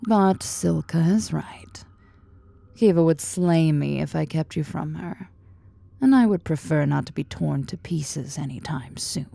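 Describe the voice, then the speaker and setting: A woman playing a powerful, flirtatious female drider in an ASMR fantasy roleplay, speaking in a breathy, commanding, teasing murmur. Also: measured pace, warm tone, no room sound, heavily acted. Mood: sultry, tender. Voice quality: low, breathy, husky murmur. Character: powerful, imperious, flirtatious female drider, half-spider monster.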